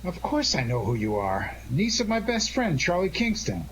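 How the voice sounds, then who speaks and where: Guy acting as wisecracking 40s radio detective, kinda high voice, breezy tough talk, noisy audio. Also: wildly swooping pitch, moderate pace, fairly bright tone, full character voice. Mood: brisk, wry. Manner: breezy, wry, tough-talking. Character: cocky, wisecracking male amateur sleuth on the run.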